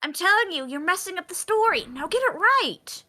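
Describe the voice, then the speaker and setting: Woman playing an indignant, high-voiced young grandson. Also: sing-song, normal speed, pushed and bright, full character voice. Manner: protesting, insistent. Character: indignant, stubborn young grandson interrupting a bedtime story. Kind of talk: live script table read.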